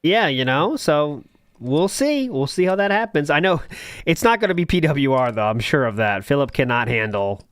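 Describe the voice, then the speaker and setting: A man's voice: a little high, sing-song, normal speed, a bit bright, clean tone, dry recording, studio quality. A man hosting a casual comedy podcast, commenting mockingly on clips and swearing freely. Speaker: podcast host.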